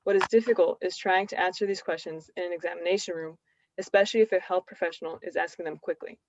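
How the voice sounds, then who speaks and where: A woman's voice: lowish voice, fairly even intonation, fast talker, background noise. A woman on a research panel reading out a scripted, explanatory answer. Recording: dull, lo-fi, dry sound.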